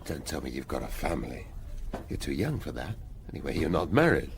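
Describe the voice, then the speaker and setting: An actor playing an irascible, wry police detective laid up in hospital, dry and grumbling, in a radio drama. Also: male voice, very deep voice, sing-song, fairly quick, slight background noise, exaggerated voice. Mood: sheepish, curious.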